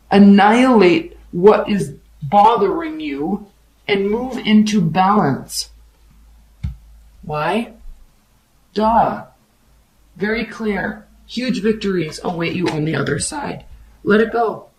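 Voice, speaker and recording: A tarot reader giving a casual love reading, the voice raspy and hoarse from overuse, with a blunt, emphatic pep-talk delivery. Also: medium pitch, a little mellow, rough recording.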